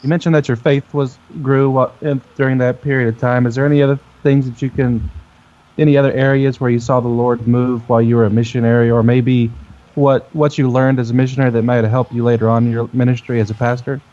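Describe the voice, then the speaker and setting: A man's voice: medium pitch, level tone, fast, warm tone. A man co-hosting an interview, casually putting a question to the guest. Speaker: co-host asking questions. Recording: dull, band-limited audio.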